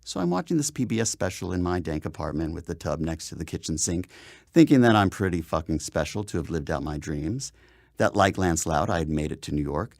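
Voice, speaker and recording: A man's voice: low voice, animated, fairly quick, gravelly, no echo. A man reading his own memoir aloud as a dramatic first-person narration, with theatrical, emphatic phrasing, close on a studio microphone. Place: voiceover studio.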